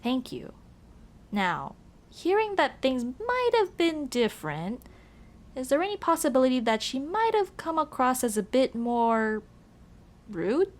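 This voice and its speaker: A woman's voice: sits high, sing-song, a little slow. A woman playing a young tomboy childhood friend, casual, teasing and caring, in a scripted ASMR roleplay. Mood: hesitant, candid. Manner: casual, teasing, addresses listener as dude. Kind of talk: ASMR roleplay, scripted one-sided conversation.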